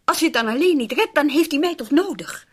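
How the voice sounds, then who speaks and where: A woman's voice: sits high, very animated, fast, dry recording, studio quality. An actress playing a determined young girlfriend in a radio play, pleading and pushy, sometimes stumbling over repeated words. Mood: defiant. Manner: insistent, hesitant repetitions.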